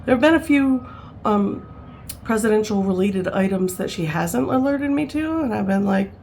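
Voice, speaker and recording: A woman's voice: medium pitch, expressive, normal speed, darkish tone, slight background noise. A woman in her fifties, rambling and chatty, talking casually to camera at home, with frequent laughs and fillers. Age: 50s. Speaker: vlogger.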